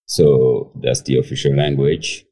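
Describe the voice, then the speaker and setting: A man, his voice extremely deep and dark. He tells his story as a guest chef, hesitant and full of fillers and restarts. He is heard over a remote call. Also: steady tone, measured pace, breathy edge. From Senegal. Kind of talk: casual interview storytelling.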